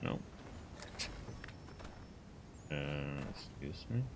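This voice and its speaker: A man's voice: extremely low pitch, lively intonation, slow, noisy background. A man from Sweden, casually commenting on a video game as he plays and muttering to himself and to the characters on screen. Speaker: game streamer.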